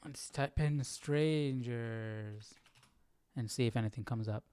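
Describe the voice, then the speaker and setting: A man's voice: mid-range voice, slow pace, a little mellow, clear, resonant tone, no room sound. A man hosting a casual Bible study livestream, thinking aloud in a musing, questioning way. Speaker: host of a live Bible study.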